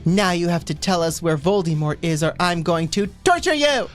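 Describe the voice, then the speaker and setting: A woman's voice: fairly low pitch, animated, normal speed, natural voice. A woman voicing a melodramatic, angsty teenage goth girl in a comic story reading, exclaiming and overwrought. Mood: angry. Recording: music behind the voice.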